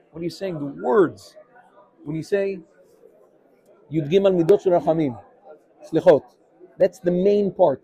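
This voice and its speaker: Here is an energetic man, giving a casual Torah class. His voice sits a little high for a man. He keeps jumping between English and Hebrew terms as he explains. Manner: animated, mixes English with Hebrew phrases. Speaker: rabbi teaching a class. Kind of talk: informal Torah class with questions.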